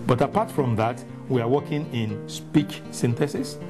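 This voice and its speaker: A man speaking briskly in a documentary interview, listing work done. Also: mid-range voice, animated. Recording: music bed under voice.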